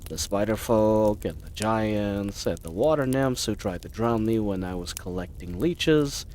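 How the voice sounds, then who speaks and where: A man's voice: fairly low pitch, animated, a little slow, a little mellow, a little hiss or hum. A man playing a bumbling, kind-hearted adventuring knight in a roleplay audio drama, rambling to the listener in a hesitant, awkwardly earnest way. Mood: apologetic, rambling.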